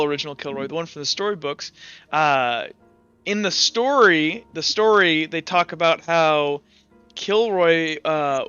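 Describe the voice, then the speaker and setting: A man with a fairly high, very bright voice runs a casual roleplay game. He talks in stops and starts as game master, over background music. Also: smooth, no echo, highs cut off. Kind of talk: casual tabletop roleplay session talk.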